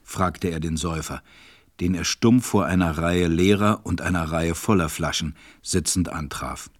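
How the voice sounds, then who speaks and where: Male narrator, deep pitch, measured storytelling, scripted storybook, radio play, dry recording. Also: no room sound.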